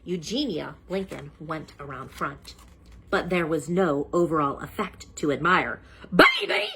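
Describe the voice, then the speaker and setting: A woman storyteller reading a children's book aloud in an animated style. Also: low-pitched, unhurried, slight background noise.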